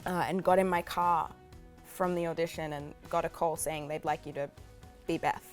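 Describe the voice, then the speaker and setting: A lively actress from Australia chats animatedly in an interview, her voice sitting slightly low. Faint music plays behind her. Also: moderate pace. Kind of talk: casual interview.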